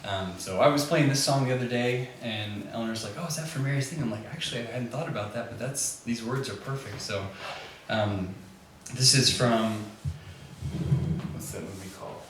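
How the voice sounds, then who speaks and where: A man giving an informal, halting tribute to a gathering before he performs. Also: mid-range voice, a bit bright. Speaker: community band conductor and musician speaking at a memorial. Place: echoey back room at a gathering. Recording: very echoey, distant mic, clearly noisy.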